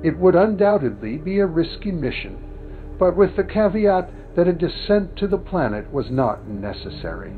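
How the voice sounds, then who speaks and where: Tinny, lively male documentary narrator. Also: high-pitched, moderate pace. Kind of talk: formal scripted documentary narration. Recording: tinny phone-like sound over music. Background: background music.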